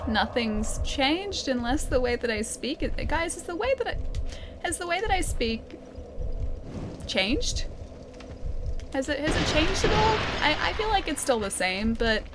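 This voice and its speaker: A woman's voice: sits high, very animated, unhurried, over music. Chatty Australian woman streaming a game. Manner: chatty, giggly, self-mocking. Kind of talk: casual gameplay commentary. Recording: noisy game stream audio.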